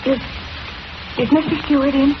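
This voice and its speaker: A woman's voice: high voice, steady tone, normal speed, lightly acted. Woman acting earnest, anxious young heroine, vintage radio. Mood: timid, polite. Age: young adult. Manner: pleading, insistent, repeats phrases urgently. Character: earnest, anxious young woman seeking her missing sister. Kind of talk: old-time radio melodrama acting. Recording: dull, hissy vintage radio recording.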